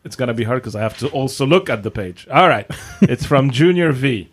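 Guy on a podcast reading an email bit by bit, halting. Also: mid-range voice, animated, slightly rough. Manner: reads haltingly. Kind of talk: casual chat, reading an email.

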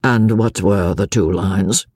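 A reader voicing a calm, cold male spy chief with a dry, sarcastic manner, pitched below the narrating voice. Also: takes it slowly, breathy edge, dry recording, exaggerated voice. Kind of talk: audiobook dialogue.

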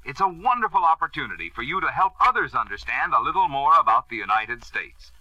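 A man reading a scripted address as a 1950s radio announcer, in a formal, measured manner. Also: mid-range voice, sing-song, bright, forceful tone, no echo. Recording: dull, band-limited old radio recording.

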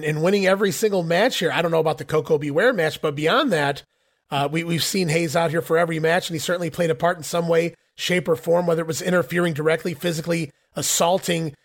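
A man hosting a podcast, recapping in casual chat with a hoarse voice. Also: on the high side, fairly even intonation, fast talker. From northeastern Ohio. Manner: recapping, wraps up the show. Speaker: podcast host.

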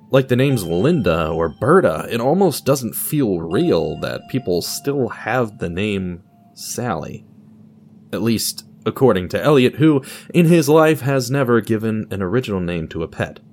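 A man's voice: medium pitch, a little mellow, slight background noise. A man narrating a scripted audio drama in a theatrical, storybook style, with a wry touch.

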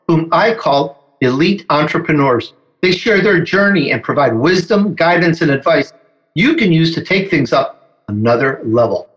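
A man hosts an interview show and talks with emphatic energy, building up his guest. His voice sits a little higher than most men's. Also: fairly bright tone, low-quality recording. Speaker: podcast host. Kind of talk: enthusiastic interview hosting.

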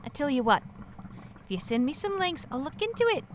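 High-voiced, placating store manager. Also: female voice, very animated, fairly quick, a little hiss or hum. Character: placating but firm pet-store manager woman.

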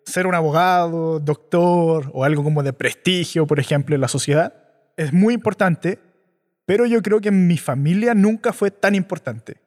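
A man's voice: fairly high pitch, normal speed, darkish tone, in a large hall. Chilean man with a reflective tone. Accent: Chilean Spanish. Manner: reflective, casual storytelling. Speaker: startup founder guest. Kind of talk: conversational interview.

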